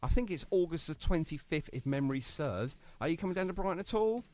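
A man's voice: fairly high pitch, lively intonation, quick pace, darkish tone. A man hosting a radio show with a British accent, chatting casually and jokily with a guest, rambling with lots of fillers. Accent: British English. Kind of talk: casual radio interview chat.

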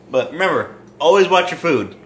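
A man in his twenties casually demonstrating home cooking to camera in a halting, rambling, self-deprecating way. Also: a little high, fairly bright tone. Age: 20s. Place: small home kitchen and dining room. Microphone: handheld or propped phone near arm's length. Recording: roomy echo, kitchen noise with sizzling.

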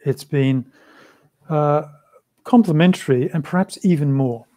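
A man, answering interview questions in a measured, thoughtful way over a video call from a small room. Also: a little high, mellow, no room sound. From Australia. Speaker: interview guest, Buddhist practitioner. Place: small room with a shrine, indoors.

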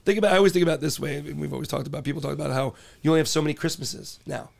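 Fast gravelly man in his forties. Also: fairly high pitch, steady tone. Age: 40s. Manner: loose, swearing, laid-back. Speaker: podcast guest. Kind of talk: casual chat. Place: small cruise ship cabin. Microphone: handheld dynamic mic.

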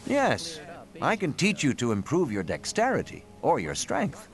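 A stern game trainer, lively. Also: male voice, medium pitch, measured pace. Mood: instructive. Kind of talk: game dialogue.

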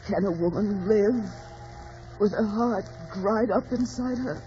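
A woman's voice: medium pitch, slow pace, mellow, natural voice. An actress playing a headstrong, anguished young woman in love, melodramatic and pleading in old radio drama. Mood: despairing. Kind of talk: 1940s radio drama acting. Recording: dull old radio recording, music behind.

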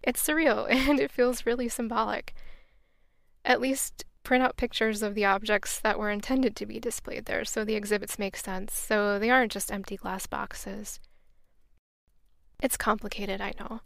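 A woman's voice: mid-range voice, little pitch movement, moderate pace, gravelly, fairly bright tone, dry recording. A woman hosting a podcast, reading scripted travel narration in a reflective, wry, earnest way, on a close mic. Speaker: podcast host.

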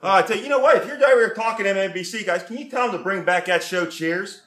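A man's voice: sits high, quick pace, a bit bright. A man doing a gushing superfan. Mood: bossy, gossipy. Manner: gushing, rambling, sighing "oh jeez" asides. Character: brash middle-aged male Pittsburgh football fan. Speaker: sketch interviewer. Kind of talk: comedy sketch acting. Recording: roomy echoey space.

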